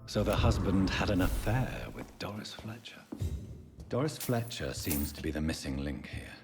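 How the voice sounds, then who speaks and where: A man playing a grave, compassionate doctor in a video game, speaking in a measured, formal, analytical way. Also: sits low, expressive. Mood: grim, analytical. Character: grave, compassionate male doctor with a dead heart. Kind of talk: dramatic video game acting. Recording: orchestral cello music behind.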